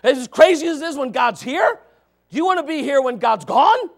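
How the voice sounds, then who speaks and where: A man preaching a revival sermon, loud and shouting, with joking asides to the congregation. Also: very high-pitched, animated, moderate pace. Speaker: pastor.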